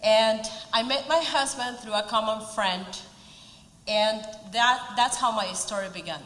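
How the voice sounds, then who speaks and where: A woman's voice: a little high, a little slow, a bit bright, slight background noise. A woman in her forties from Peru with a Spanish accent, giving an earnest speech with light humor at a community event. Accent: Peruvian Spanish. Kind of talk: prepared speech. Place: event hall. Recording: roomy hall reverb.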